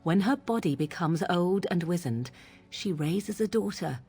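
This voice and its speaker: An actress playing a proud, troubled young witch in a fantasy game, with formal, archaic, deliberate phrasing. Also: on the low side, animated, mellow, husky, soft background music, heavily acted. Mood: grave, disturbed.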